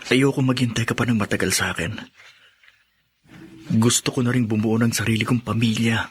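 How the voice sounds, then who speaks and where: An actor playing an earnest, resolute young groom and devoted son in a radio drama, with a very husky, sincere voice. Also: male voice, mid-range voice, steady tone, fairly quick. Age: young adult. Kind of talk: Filipino radio drama acting.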